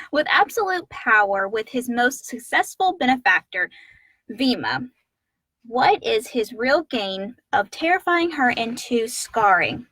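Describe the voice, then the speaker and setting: Higher-voiced woman co-host, dry sound. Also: very animated, takes it slowly, sharp tone, no echo, poor audio. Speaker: co-host reading out listener questions. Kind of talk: casual live Q&A chat.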